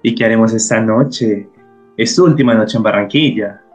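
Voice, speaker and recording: A man playing a shy, tender with a hesitant, gentle manner in a radio drama. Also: on the low side, moderate pace, soft background music, lightly acted. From Colombia. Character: shy, tender Colombian man hosting a companion.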